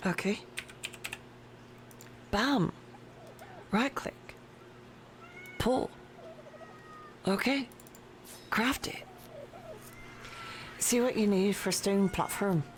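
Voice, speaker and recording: A woman live-streams a game from her desk at home, talking slowly and easily. She chats with viewers and her pet between moves. A faint background hiss sits under her voice. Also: mid-range voice. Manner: slow, chatty, talks to viewers and her pet. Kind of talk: casual live-stream chat while playing. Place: home desk with a cat nearby.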